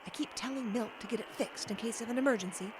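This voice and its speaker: A woman's voice: on the high side, fast, noisy background, natural voice. A woman playing a feisty, bossy, exasperated wife in an audio drama, with a brassy scolding manner. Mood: disappointed.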